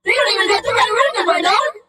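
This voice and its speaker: A yelping, whiny dog voice, squeaky and sharp. It rants and shouts its complaints. The sound is distant and echoey, as if from across the room. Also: male voice, animated, fast, exaggerated voice. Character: dog mimicking a whiny gamer, high-pitched.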